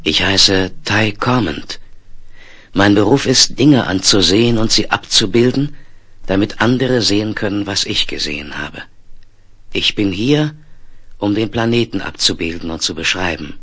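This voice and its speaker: Forceful, husky-voiced space explorer. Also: male voice, on the low side, expressive, heavily acted. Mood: declamatory. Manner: earnest, deliberate, emphatic. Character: curious, steadfast male human space explorer. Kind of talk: 1970s German radio play acting.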